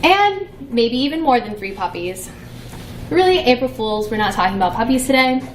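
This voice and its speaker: A woman's voice: a little high, animated, normal speed, slight background noise. Playful young woman presenting in an echoey room. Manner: playful, chatty with many fillers. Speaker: conference presenter. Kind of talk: conference talk. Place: conference room.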